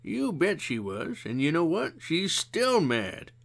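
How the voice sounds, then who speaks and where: Calm older woman, dry and knowing, raised pitch, as a man reads her. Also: takes it slowly, vocal fry, heavily acted. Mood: wry. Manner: dry, knowing, gently scolding.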